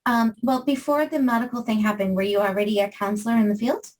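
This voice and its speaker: A woman's voice: a little high, fairly even intonation, quick pace, distant mic. A woman from Maine hosting an interview podcast, asking questions with frequent fillers. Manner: warm hesitant questions with fillers. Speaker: podcast host.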